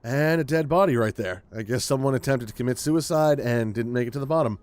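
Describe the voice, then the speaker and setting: A man's voice: mid-range voice, brisk, a little mellow, dry recording. A man hosting a gaming video with casual, rambling commentary, thinking aloud as he plays. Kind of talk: casual let's play commentary.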